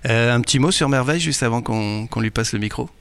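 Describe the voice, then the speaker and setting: A man's voice: medium pitch, quick pace, fairly bright tone, clean tone, dry recording. A man hosting an interview, asking friendly questions with light jokes. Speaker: host.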